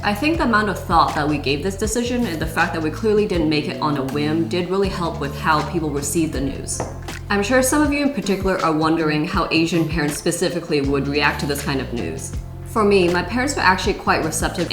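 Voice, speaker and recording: A young woman from California, co-hosting a casual Q&A vlog in a clear, matter-of-fact way. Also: deep voice. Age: young adult. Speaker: vlog co-host, former lawyer. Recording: echoey room with background music.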